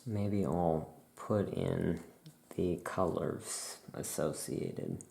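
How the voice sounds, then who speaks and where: A performer voicing a chatty, musing necromancer witch shopkeeper in a soft-spoken ASMR roleplay, with writing sounds behind. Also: low-pitched, extremely slow, vocal fry, exaggerated voice. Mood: relaxed, musing.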